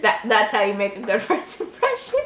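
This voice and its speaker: A woman's voice: mid-range voice, sing-song, moderate pace, a bit bright. A woman reading a story aloud casually, with giggly, mocking asides of her own. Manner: giggly, breaks off to mock the text. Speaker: host reading an online horror story aloud. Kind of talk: casual read-aloud with joking commentary. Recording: roomy echo, faint background noise.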